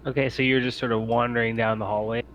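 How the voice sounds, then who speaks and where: Brief: male game master, mid pitch, polite and explanatory, muffled lo-fi mic with faint noise. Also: little pitch movement, fast. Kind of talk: improvised game narration and table talk. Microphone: online voice chat mic.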